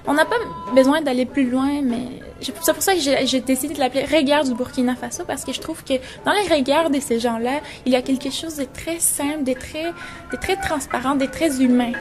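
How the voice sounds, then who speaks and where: Reflective young woman, fairly high voice. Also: fairly quick, over music. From Venezuela. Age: 20s. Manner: reflective, searching for words. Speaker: photographer and journalism student. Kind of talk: interview about her photo exhibition.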